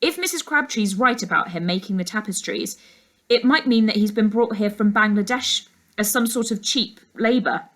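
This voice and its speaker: A woman voicing a serious ex-detective uncle in a storybook, speaking in a measured, authoritative way. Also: medium pitch, fairly bright tone, lightly acted. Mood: urgent, authoritative. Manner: measured, commanding, matter-of-fact.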